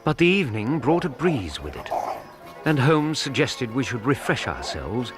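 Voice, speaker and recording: A man's voice: medium pitch, sing-song, normal speed, lightly acted. An actor playing a Victorian doctor narrating his recollections with an English accent in a radio drama. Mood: reminiscent. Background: prominent music.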